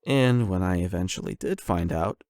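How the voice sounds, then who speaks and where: A man's voice: low voice, lively intonation, normal speed, darkish tone, no room sound, clean studio sound. A man acting a shy, flustered young man confessing love to an online friend in a hesitant, rambling ASMR boyfriend roleplay. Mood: tender, earnest. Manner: hesitant, rambling, nervous chuckles.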